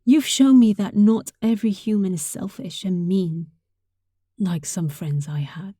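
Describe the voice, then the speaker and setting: A woman's voice: medium pitch, a little slow, dry recording. A woman playing a sweet, affectionate young catgirl roommate in an intimate roleplay, speaking tenderly and breathily, often close to a whisper. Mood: tender, heartfelt. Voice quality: soft, breathy. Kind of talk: intimate roleplay audio, softly acted monologue.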